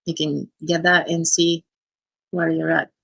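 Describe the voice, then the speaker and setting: Bright-voiced woman hosting a group call. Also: low-pitched, level tone, a little slow, creaky, slightly rough, no echo. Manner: encouraging, asks follow-up questions. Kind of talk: casual video call chat.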